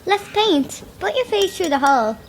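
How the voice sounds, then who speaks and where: A woman's voice: very high voice, sing-song, normal speed, slight background noise, exaggerated voice. A female performer playing an innocent, shy little girl, speaking artlessly in a naturalistic audio drama. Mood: innocent, chatty. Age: child. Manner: artless, plain-spoken. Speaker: child character in audio horror drama. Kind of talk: naturalistic radio drama acting.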